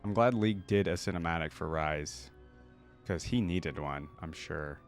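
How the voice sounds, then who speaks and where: Low-pitched man, casual reaction host. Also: normal speed, faint music behind. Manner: informal, exclamatory, often trailing off and rephrasing. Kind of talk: casual reaction commentary mixed with reading a story aloud.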